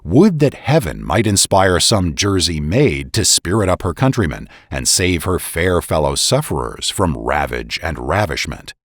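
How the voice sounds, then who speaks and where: A man's voice: low-pitched, sing-song, measured pace, hardly any pauses, no room sound, studio quality. A man reading scripted historical narration in a solemn, oratorical way with emphatic phrasing. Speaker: podcast narrator and reader.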